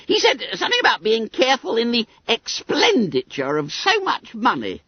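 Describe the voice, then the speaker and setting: A storybook reader voicing a boastful, fat schoolboy, pompous and gleeful, with a squeaky, trilling voice. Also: wildly swooping pitch, normal speed, husky, dry recording, dull audio. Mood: triumphant. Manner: pompous, gleeful, muddles long words. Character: boastful, fat, bespectacled schoolboy, smug and indignant.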